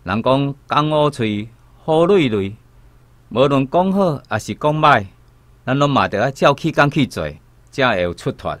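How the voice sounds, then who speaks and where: A man with a Taiwanese accent playing a reasonable gentleman, a placating father in a radio drama. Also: medium pitch, lively intonation, no echo, lightly acted. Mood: placating, advising. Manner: measured, reasoning.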